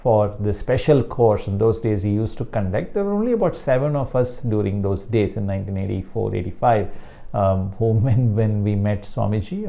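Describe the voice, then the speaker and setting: An older man from South India with a Tamil-accented English, a meditation teacher speaking slowly and deliberately about his guru. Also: lowish voice, vocal fry, lo-fi sound. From Chennai, South India. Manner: slow, deliberate, emphatic on key words. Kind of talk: reflective talk to camera.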